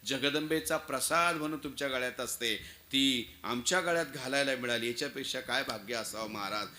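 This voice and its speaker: A man's voice: medium pitch, a little fast, pushed and bright, a little hiss or hum, close to an everyday voice. A man voicing a proud, devoted soldier in a historical tale, speaking with reverent, impassioned rhetoric. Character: devoted, proud young soldier, willing to die for his king.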